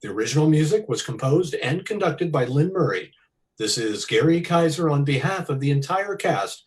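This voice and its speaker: A man's voice: on the high side, animated, normal speed, low-quality recording. A man announcing in a polished, salesmanlike 1940s radio style.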